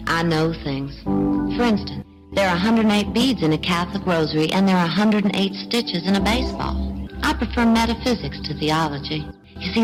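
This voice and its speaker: A woman with a lowish voice speaks a reflective monologue, measured and confiding. Music plays clearly behind her. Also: rough recording.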